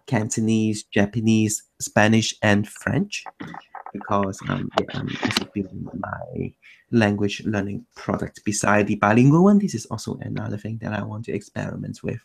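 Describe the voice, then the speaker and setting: A low-pitched man hosts an online language meetup, and his voice carries a gravelly fry. He chats loosely, often breaking off and restarting his sentences. It sounds like a computer mic on a call. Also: moderate pace, a little hiss or hum, rough recording. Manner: loose, self-correcting, many restarts. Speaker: meetup host. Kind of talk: casual chat.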